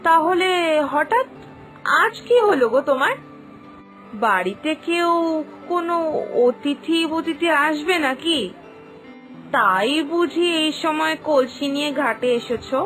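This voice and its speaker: A woman's voice: high voice, animated, a little slow, pauses often, fairly bright tone, faint music behind. A woman voicing Balai's wife, a chatty, nosy village woman full of opinions, in a cartoon. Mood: curious. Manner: chatty, inquisitive. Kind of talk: cartoon voice acting.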